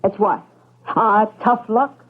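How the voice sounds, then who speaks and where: An urgent, grasping wife in a vintage radio mystery. She is pushy and anxious, with a mid-pitched voice. The recording is narrow and muffled like an old broadcast. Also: takes it slowly, slightly rough. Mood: urgent, insistent. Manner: urgent, insistent, stammering when flustered. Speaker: radio drama actor.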